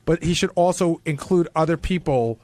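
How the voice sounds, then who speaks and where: A man's voice: on the high side, moderate pace, darkish tone, dry recording. A man on a radio panel, debating and teasing in casual studio chat.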